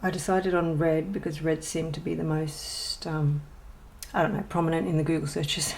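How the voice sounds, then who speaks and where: Woman vlogger, lowish voice with vocal fry, hesitant and rambling, self-deprecating. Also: little pitch movement, normal speed, darkish tone, slight background noise, rough recording. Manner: rambling and hesitant, many ums and restarts; self-deprecating, chatty asides. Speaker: colouring channel host. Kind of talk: casual show-and-tell vlog.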